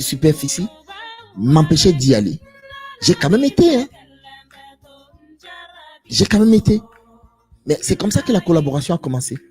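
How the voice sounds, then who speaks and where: Man lecturing viewers live, swoops all over in pitch, keeps hammering the same phrases, mellow tone. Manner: emphatic, preachy, repeats phrases, rhetorical questions. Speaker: live stream speaker. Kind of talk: impassioned monologue to viewers.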